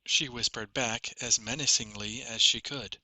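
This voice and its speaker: A man's voice: mid-range voice, fairly even intonation, moderate pace, pushed and bright, dry recording. A man reading a first-person fantasy story as a scripted audiobook narration.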